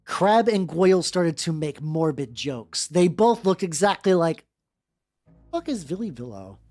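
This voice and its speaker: A woman's voice: on the low side, big pitch swings, measured pace, a little mellow. A woman hosting a livestream, chatting casually and reading aloud, often stammering, repeating words and breaking off to comment. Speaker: vtuber streamer host. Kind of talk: casual livestream chat mixed with reading fan fiction aloud. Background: background music.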